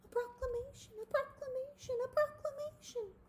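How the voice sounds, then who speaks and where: A woman's voice: very high voice, fairly even intonation, moderate pace, very noisy, pitched above the performer's own voice. A woman voicing a cheerful, innocent young male mole rat in an earnest, sing-song storybook manner. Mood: worried.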